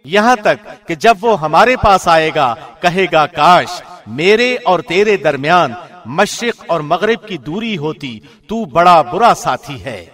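A man reading a scripture translation from a script in a formal, measured announcer's delivery. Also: a little high, expressive, a bit bright. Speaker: translation reader, radio host.

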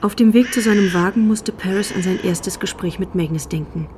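A woman's voice: mid-range voice, brisk, noisy background, lightly acted. A woman as a composed storyteller, reading scripted narration for a radio drama. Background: music.

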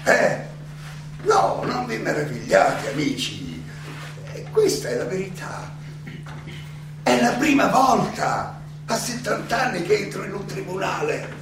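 Old greek philosopher defending himself onstage, ironic n indignant, keeps repeating himself, far off in big echo, music behind. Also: male voice, sits high, huge pitch swings, full character voice. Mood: earnest. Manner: halting, rhetorical, repeats phrases, interjects questions. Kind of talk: theatrical stage monologue. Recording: very echoey, distant miking, music behind.

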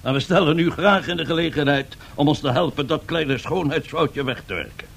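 An actor playing a pompous, boastful Italian fascist dictator in a radio drama, husky and given to grandiose speechmaking. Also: male voice, a little high, animated, brisk, a bit bright, heavily acted. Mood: boastful persuasion. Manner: grandiose, self-satisfied, rhetorical speechmaking. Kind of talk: 1970s radio drama acting.

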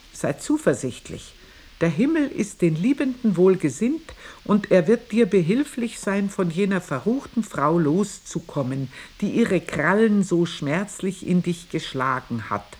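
A woman's voice: on the low side, darkish tone, slightly rough, exaggerated voice. A woman mockingly putting on the voice of an affected, lovesick older noblewoman reading out her own love letter. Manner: sugary, overblown, read with mockery.

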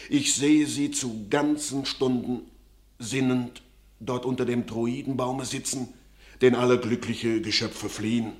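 A solemn old father in a classic radio play, full of dark foreboding, played by an actor. He speaks slowly and gravely, weighing every warning in measured verse. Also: medium pitch, close to an everyday voice.

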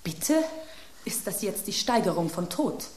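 A woman's voice: mid-range voice, sing-song, unhurried, darkish tone. An actress playing an anxious, poetic woman in a radio drama, theatrical and exclamatory. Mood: puzzled. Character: melodramatic, anxious, poetic woman at a tense dinner. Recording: roomy sound with hiss.

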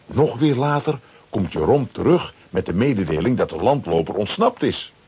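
A man narrating a children's radio play as a scripted storyteller, with a very husky voice. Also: lowish voice, very animated, normal speed, mellow, lo-fi sound, heavily acted.

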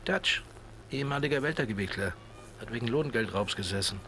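An actor playing a charming, quietly menacing gangster boss in his thirties in a German radio play. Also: mid-range voice, animated, fairly quick, slight background noise. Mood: smooth, evasive.

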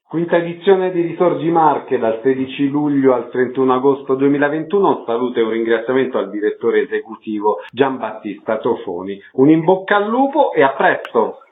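A man's voice: mid-range voice, animated, fairly quick, few pauses. A man hosting a radio interview, delivering questions and introductions in a prepared presenter's style. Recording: narrow tinny phone-like radio sound.

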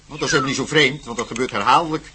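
An actor playing a talkative, slightly haughty local official at a jungle outpost in a radio play. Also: male voice, mid-range voice, rapid-fire, sharp tone, slight background noise, natural voice. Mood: ominous, informative. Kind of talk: Dutch radio play dialogue.

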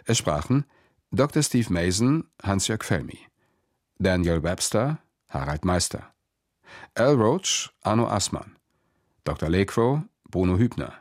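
Low-voiced male radio announcer, dry sound. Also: big pitch swings, a little slow, no echo. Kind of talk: formal credits announcement.

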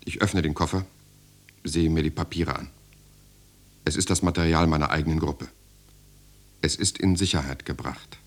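An actor in a radio drama playing an earnest writer and secret resister, in dialogue and first-person narration. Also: male voice, rumbling low, steady tone, moderate pace, pauses often. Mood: guarded, earnest. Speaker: protagonist and narrator.

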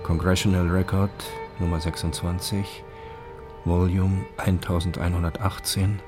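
A man reading official documents and quotations in a formal, deliberate, scripted documentary style. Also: low voice, flat delivery, over music.

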